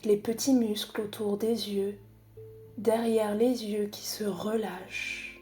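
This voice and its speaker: A woman guiding a body scan meditation in a calm, unhurried, soothing manner with long pauses. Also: medium pitch, soft background music. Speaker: psychologist guiding a relaxation exercise.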